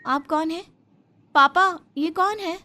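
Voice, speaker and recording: A woman's voice: very high-pitched, takes it slowly, sharp tone, voice pushed up, full character voice. A woman voicing a young daughter-in-law in a family story, dutiful but outspoken, protesting as she speaks. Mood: puzzled. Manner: pleading, protesting.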